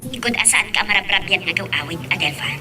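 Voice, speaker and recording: A woman's voice: mid-range voice, expressive, brisk, sharp tone, recorded from a distance, a little hiss or hum. A woman playing a concerned, questioning mother figure in an Ilocano audio drama. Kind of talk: Ilocano radio-style drama acting.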